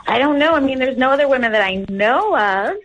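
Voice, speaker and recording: A woman's voice: sits high, expressive, fairly quick, highs cut off, soft background music. A woman calling in to a radio show, chatting casually in a hesitant, rambling way full of fillers. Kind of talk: casual chat.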